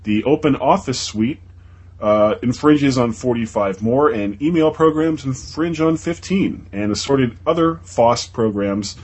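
A man's voice: medium pitch, measured pace, a little mellow, husky. A man co-hosting a casual podcast, reading news items with wry, sarcastic asides. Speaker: podcast co-host.

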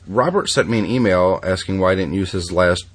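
Stuffy guy w/ a real deep voice hosting a podcast, lots of uh and um. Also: breathy edge. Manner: halting, many fillers and restarts. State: congested, stuffy. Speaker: podcast host. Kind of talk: casual podcast chat.

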